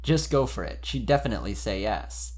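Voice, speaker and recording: A man's voice: on the low side, lively intonation, brisk. A man speaking informally and expressively, as a podcast member giving an amused dramatic reading of fan fiction.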